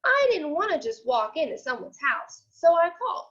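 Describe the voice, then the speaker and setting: A woman voicing a chatty, aggrieved male wolf who pleads his innocence in a storybook read-aloud, with expressive, conversational delivery. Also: extremely high pitch, normal speed, lo-fi sound, heavily acted. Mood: chatty, self-justifying. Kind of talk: storybook read-aloud, first-person narration.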